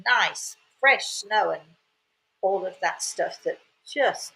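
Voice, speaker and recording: A woman's voice: mid-range voice, lively intonation, slow, vocal fry, bright, forceful tone, rough recording. An older Englishwoman hosts her show and tells a casual story. She speaks with a chatty, rambling manner. She often repeats words and drops in cheerful asides. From England. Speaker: host.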